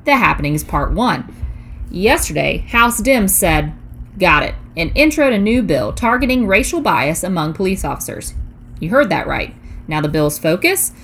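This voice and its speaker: A woman's voice: lowish voice, big pitch swings, normal speed, fairly bright tone. A woman hosting a scripted news digest podcast in a casual, chatty way with playful asides.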